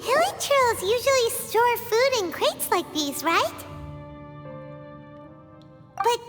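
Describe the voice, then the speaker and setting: A woman voicing a tiny, chatty fairy companion in a video game, with a squeaky childlike voice. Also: big pitch swings, slow pace, fairly bright tone, faint music behind, exaggerated voice. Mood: curious. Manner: exclamatory, refers to herself by name.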